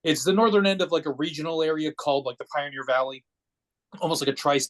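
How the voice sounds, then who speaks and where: A man's voice: a little high, fairly even intonation, quick pace. A man of forty from Connecticut with an American accent, telling personal stories casually as a podcast guest in a rambling, matter-of-fact way. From Connecticut, United States.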